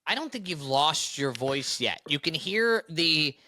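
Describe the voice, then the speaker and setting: Young male co-host, bright and lively, tenor-ish, close dry studio mic. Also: on the high side, unhurried, no echo. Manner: upbeat, animated storyteller. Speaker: podcast co-host. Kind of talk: casual chat.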